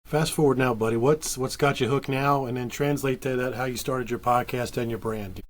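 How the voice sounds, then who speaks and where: A man's voice: medium pitch, steady tone, moderate pace. A man from Maryland, an enthusiastic and friendly podcast co-host asking questions in casual chat.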